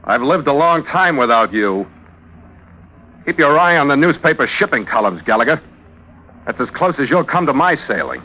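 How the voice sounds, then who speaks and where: A man's voice: medium pitch, big pitch swings, moderate pace, pauses often, a little hiss or hum, natural voice. A man with an American accent playing a tough, suspicious ship's skipper, narrating a mystery in a terse, wisecracking radio-drama style. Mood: gruff, suspicious. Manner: terse, wisecracking, hard-bitten. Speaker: lead actor and narrator. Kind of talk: hardboiled 1940s-50s American radio acting and narration. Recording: muffled old radio recording with music behind.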